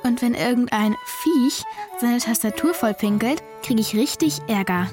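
High-voiced young girl narrator. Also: animated, a little slow. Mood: confiding. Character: young girl wishing for a pet, matter-of-fact. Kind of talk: children's radio play narration. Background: music.

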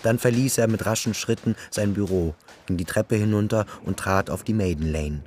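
A man reading scripted literary narration for a radio drama in a measured, matter-of-fact way. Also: lowish voice, a little mellow, a little hiss or hum.

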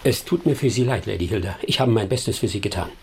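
An actor playing a shrewd, composed gentleman detective in a radio drama, speaking formally and with insistent authority. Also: lowish voice, animated, fast, creaky, slight background noise. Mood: firm persuasion. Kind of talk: German radio drama acting.

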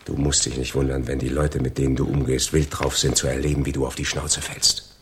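An actor playing a cold, gloating criminal in a radio crime drama, taunting and deliberate in his delivery. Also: very deep voice, flat delivery, a little hiss or hum. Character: cold, gloating male criminal double-crossing his accomplices.

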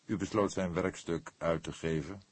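A man voicing a calm older police detective in an audiobook, probing in a hesitant, deliberate, quietly sceptical way. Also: sits low, slightly rough, voice pushed down. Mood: puzzled.

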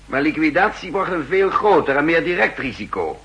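An actor playing a guarded, authoritative spy chief with a husky voice, clipped and formal, in a 1960s radio play. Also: male voice, a little high, very animated, brisk, fairly bright tone, heavily acted. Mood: stern. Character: authoritative, guarded male spy chief, curt with his servant.

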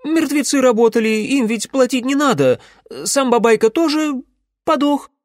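A male narrator puts on a young merchant's son for an audiobook. He is pitched well above the reader's natural voice, anxious and servile, yet puffed up with boastful self-importance. The sound is close and dry. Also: lively intonation, normal speed, dry recording. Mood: eager, assuring. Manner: fawning, pompous, self-important. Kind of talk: audiobook character voice.

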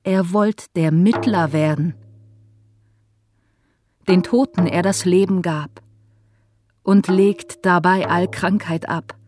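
A woman's voice: mid-range voice, close to an everyday voice. An actress playing a grieving, devout woman in a radio passion play, reciting verse mournfully. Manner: mournful, declamatory. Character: grieving devout woman lamenting the captured Jesus. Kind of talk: poetic radio drama recitation. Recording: prominent music behind the voice.

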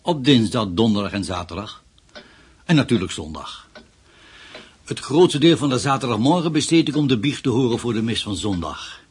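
An actor playing a kindly, devout retired priest with a very husky older voice, gentle and courteous in a radio play. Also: male voice, mid-range voice, expressive, normal speed. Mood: gently courteous. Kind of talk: Dutch radio play acting.

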